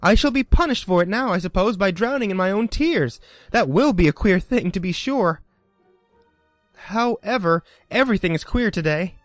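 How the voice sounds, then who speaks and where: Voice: medium pitch, lively intonation, moderate pace, dry recording, soft background music, pitched above the performer's own voice, close to an everyday voice. A reader voicing a polite, chatty young girl who stumbles over her words in a storybook reading. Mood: musing.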